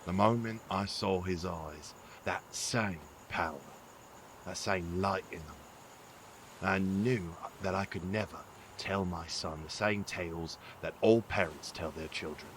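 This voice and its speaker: A man playing a gruff, rueful father in an audio drama, speaking in a measured, weary and earnest way. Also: sits low, a bit bright, slight background noise. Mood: wistful. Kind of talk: audio drama acting, reflective monologue.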